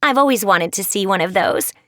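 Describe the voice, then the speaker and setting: A woman's voice: mid-range voice, expressive, brisk, a bit bright, no echo, clean studio sound, close to an everyday voice. A woman voicing an adventurous, friendly teenage girl who speaks in an easygoing way.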